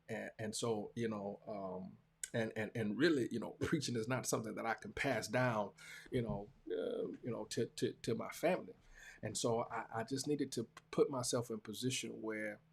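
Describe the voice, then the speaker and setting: A man in his forties with a Southern US accent, a preacher talking casually and reflectively to his viewers, halting and earnest, often repeating phrases for emphasis. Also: fairly low pitch, gravelly. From small-town Georgia, US. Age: 40s. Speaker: preacher hosting a talk show.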